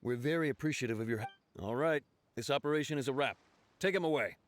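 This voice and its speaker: A man acts a law officer in an anime-style game. The voice sits at an average male pitch, courteous, matter-of-fact and businesslike. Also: fast, close to an everyday voice.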